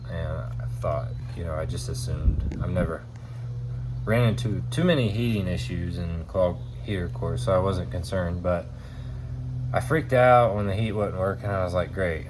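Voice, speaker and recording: A man in his thirties with a low, stuffy voice explains a truck repair in chatty, folksy, loosely worded talk. He records on a phone held close in his home garage. Loud background noise fills the track. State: stuffy from a head cold. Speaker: DIY auto repair host. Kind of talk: casual how-to explanation. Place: home garage, by an open door. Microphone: phone camera close by, at arm's length.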